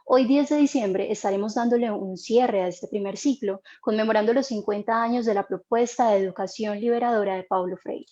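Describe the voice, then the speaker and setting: A woman moderating an online panel, reading a formal introduction in a measured way. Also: medium pitch, dark tone, lo-fi sound.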